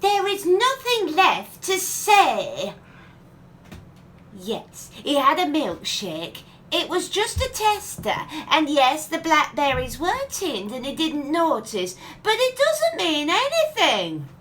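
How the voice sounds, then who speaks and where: A woman acts out an exasperated, challenging grown-up child in a radio comedy. The voice is high and swings dramatically in pitch. A little noise is audible behind it. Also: huge pitch swings, slow pace, fairly bright tone, heavily acted. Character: suspicious, exasperated grown child questioning their mother. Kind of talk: family comedy audio play acting.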